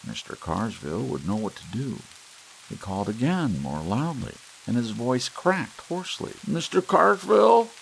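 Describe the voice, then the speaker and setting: Man narrating an ASMR audiobook, deep low voice, wide lively pitch, expressive storytelling. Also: moderate pace, vocal fry, a little hiss or hum, low-quality recording. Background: soft rain sounds.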